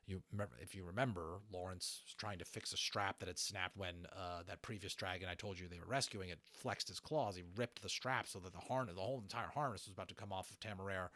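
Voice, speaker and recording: A man's voice: deep voice, fast, hardly any pauses, bright, forceful tone, dry recording. A man reading a novel aloud as an amateur audiobook narrator, telling the story. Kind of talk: scripted story narration with informal asides.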